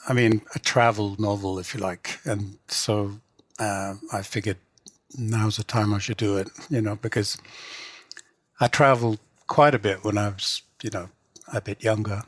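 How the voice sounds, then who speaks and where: A man's voice: lowish voice, steady tone, no room sound. A middle-aged man from England, a translator and novelist, talking casually in an interview with many restarts and fillers. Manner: halting, many restarts and "you know" fillers.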